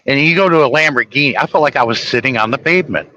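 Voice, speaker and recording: A man's voice: mid-range voice, rapid-fire, bright, forceful tone, highs cut off. A man, a trucker, chatting casually on a livestream in a blunt, folksy way. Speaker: trucker livestreaming while working.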